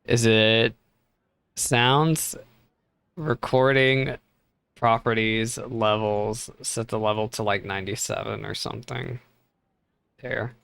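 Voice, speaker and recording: A man's voice: lowish voice, fairly even intonation, takes it slowly, vocal fry, pauses often, fairly bright tone, smooth. A man streaming a game reaction from a temporary home setup on a desk mic, chatty and self-deprecating. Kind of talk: casual reaction stream commentary. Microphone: desk microphone.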